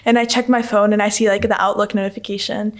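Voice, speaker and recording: A young woman around twenty from Mexico, an international student, telling a story in a relaxed chatty way. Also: medium pitch, quick pace. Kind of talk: casual personal storytelling.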